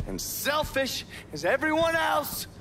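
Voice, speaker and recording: An actor with an American accent playing a stern, sardonic government agent in a video game cutscene. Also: male voice, very high-pitched, big pitch swings, measured pace, gravelly, background noise, full character voice. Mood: accusing, disillusioned. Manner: sardonic, accusing.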